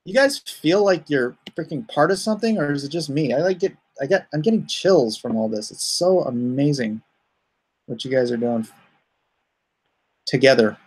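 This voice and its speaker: A man hosting a livestream in a casual, chatty way, informal and given to excited exclamations, often halting as he goes. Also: a little high, husky. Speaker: livestream host and comic artist. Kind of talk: casual livestream chat, reacting and thanking viewers.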